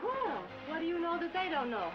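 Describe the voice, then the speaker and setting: An actress playing a breezy, slangy party guest in a 1940s film comedy. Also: female voice, very high voice, big pitch swings, a little fast, loud music behind the voice. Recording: noisy muffled 1940s film soundtrack.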